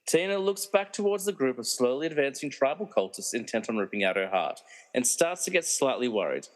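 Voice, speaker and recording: Theatrical comedy narrator played by a man, mid-pitched and very animated, dry mocking asides, long hall reverb. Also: fairly quick, lightly acted. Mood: suspenseful. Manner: wry, theatrical. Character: wry, meddling movie narrator who mocks the characters. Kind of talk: dramatic scripted narration with comic asides.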